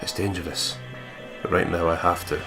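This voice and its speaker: A man's voice: sits low, fairly even intonation, normal speed, music in the background. A man reading visual novel narration aloud and breaking into jokey, profane commentary as a gaming co-host. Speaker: let's play co-host.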